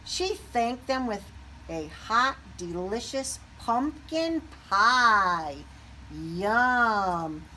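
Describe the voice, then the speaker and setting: A woman reading a children's picture book aloud expressively, pausing to put questions to young listeners. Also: a little high, lively intonation, pushed and bright, a little hiss or hum.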